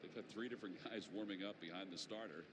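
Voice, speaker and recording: Low-voiced American baseball announcer over ballpark noise. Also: male voice, quick pace. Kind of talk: live baseball commentary and in-game interview. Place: outdoor baseball stadium. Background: ballpark ambience.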